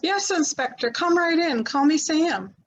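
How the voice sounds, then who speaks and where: A woman's voice: sits high, animated, measured pace, a little hiss or hum, low-quality recording. A woman playing a flustered widow in a 1940s-style radio mystery, talking in a breathless, stammering way. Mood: polite. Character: flustered middle-aged widow, woman, quick to defend herself.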